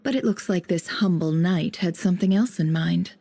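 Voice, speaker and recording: A dry, mellow spymaster. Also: female voice, fairly low pitch, moderate pace, vocal fry, breathy edge. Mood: wry. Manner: dry, confident, teasing. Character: wry, composed adult female spymaster. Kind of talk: video game voice acting.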